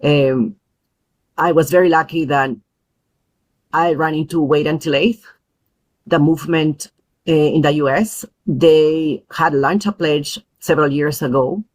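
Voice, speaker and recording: Earnest Peruvian-born woman, thoughtful pauses. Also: low voice, fairly even intonation, darkish tone, rough recording. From Peru. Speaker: guest, parent advocate. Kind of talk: podcast interview.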